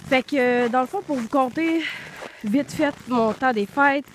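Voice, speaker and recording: A woman's voice: high voice, a little slow, slight background noise. A young woman with a Quebec French accent, congested from the flu and a bit out of breath, chatting loosely with many fillers while walking in snowy woods. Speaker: vlogger. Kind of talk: casual vlog chat while walking outdoors. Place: snowy woods outdoors in deep cold.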